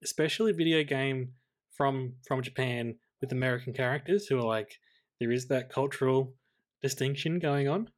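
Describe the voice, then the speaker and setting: A man's voice: mid-range voice, moderate pace. A man co-hosting a film podcast in an easygoing, conversational way. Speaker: podcast co-host. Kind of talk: casual film podcast chat.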